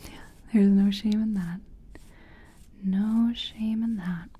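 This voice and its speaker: A woman acting out a friendly makeup artist in an ASMR role-play. She whispers in a breathy, mellow voice and takes her time, chatting and reassuring softly as she works. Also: medium pitch, steady tone. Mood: gentle, chatty. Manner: gentle, unhurried, chatty, reassuring. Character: friendly, self-taught makeup competition contestant. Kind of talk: soft-spoken ASMR personal attention role-play.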